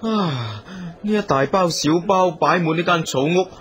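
Radio drama role: eager, simple sidekick with a forceful, fairly high voice. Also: male voice, expressive, a little slow. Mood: carefree. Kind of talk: Cantonese radio drama acting.

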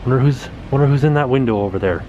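A man in his forties excitedly pointing things out as he walks through a small indoor set, filmed on a handheld camera at arm's length. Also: medium pitch, racing, muffled recording, background noise. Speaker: vlogger. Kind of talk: casual walkthrough vlog. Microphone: camera held at arm's length.

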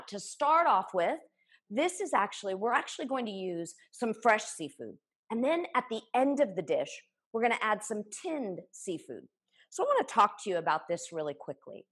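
A woman's voice: medium pitch, fairly bright tone, slightly rough. A woman in her forties giving a live cooking demonstration in her home kitchen, explaining each step with enthusiasm while she chops. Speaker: cooking instructor. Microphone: camera a few feet away.

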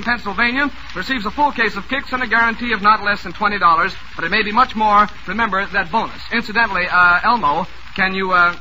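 A man hosting a 1940s radio quiz show, with genial, joking announcer patter. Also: high voice. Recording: noisy old radio broadcast recording.

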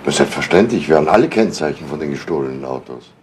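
Careful company official, deep voice, formal, old radio play, faint noise. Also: male voice, lively intonation, fast. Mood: obliging. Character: male company official, cautious, formal, evasive. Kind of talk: 1970s German radio crime drama acting.

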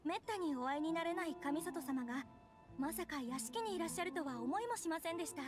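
An actress playing a polite, gentle young noblewoman in a video game, speaking formally and humbly. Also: very high-pitched, lively intonation, fast talker. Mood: polite, composed. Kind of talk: Japanese video game voice acting. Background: background music.

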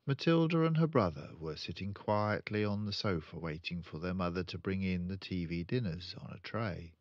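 An older man reading a children's book aloud as a storyteller, with deliberate, expressive phrasing. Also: low-pitched, dry recording, dull audio. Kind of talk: children's audiobook narration.

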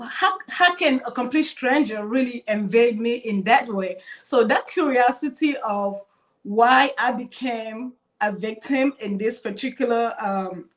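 A woman's voice: fairly high pitch, a little slow. A young woman from Cameroon speaking as a cybersecurity panelist on a video call, telling her story in a hesitant way with many fillers and restarts.

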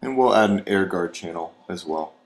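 A man's voice: low voice, expressive, moderate pace. An American man narrating a scripted training video as an instructor, in a methodical, step-by-step manner.

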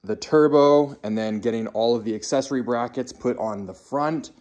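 A man's voice: medium pitch, normal speed, a little mellow, highs cut off. A man in his thirties with a North American accent, narrating a casual vlog to viewers in an informal, upbeat way. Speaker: host of a truck build channel.

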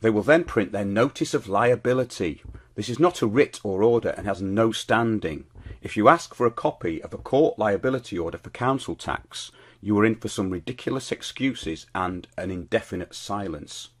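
A middle-aged British man presenting his show as host, reading scripted commentary in a measured, declarative way. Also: fairly low pitch, expressive, breathy edge.

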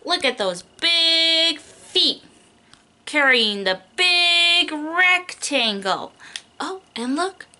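A woman's voice: very high voice, animated, drawn-out words, bright, forceful tone. A young adult woman, a preschool teacher doing a picture book read-aloud in an exaggerated, child-directed way, stretching key words.

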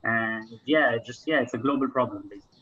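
A man's voice: mid-range voice, fairly even intonation, quick pace, bright, forceful tone, dull audio, a little hiss or hum. A man from Egypt speaking casually and earnestly as an interview guest, thinking aloud as he talks. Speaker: interview guest, climber and environmental advocate.

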